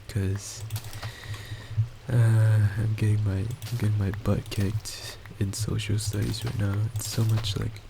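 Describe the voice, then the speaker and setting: A young man, a college student, talking in a quiet, soft voice about himself, hesitant and halting with many restarts and fillers, into a boosted desktop mic. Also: lowish voice, little pitch movement, vocal fry, background noise. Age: young adult. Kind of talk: rambling personal monologue. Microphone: desktop microphone with boosted gain.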